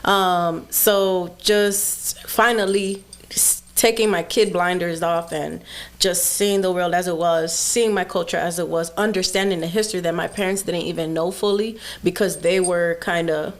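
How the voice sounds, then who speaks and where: A woman's voice: on the low side, steady tone, moderate pace. A young woman whose first language is Spanish, raised in a Dominican home in the United States, speaking candidly and reflectively as an artist guest on a podcast. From United States, Dominican family. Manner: reflective, candid, laughs often. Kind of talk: casual podcast interview.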